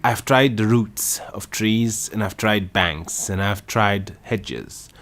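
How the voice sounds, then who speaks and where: A man's voice: lowish voice, unhurried, fairly bright tone, voice pushed up. A man voicing a fretful, shrieking female pigeon who guards her eggs, agitated and stumbling over repeated lines in an amateur storybook reading. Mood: plaintive.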